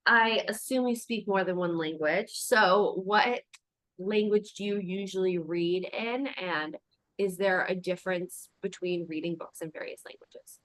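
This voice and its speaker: A woman's voice: fairly low pitch, fairly even intonation, a little slow, a bit bright, dry recording. A woman hosting a book podcast interview, asking questions and adding affirming mm-hmms. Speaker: podcast host.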